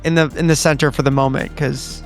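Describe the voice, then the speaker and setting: A man's voice: a little high, little pitch movement, brisk. A man playing a tabletop game, talking in a casual, joking way. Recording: music under the voice.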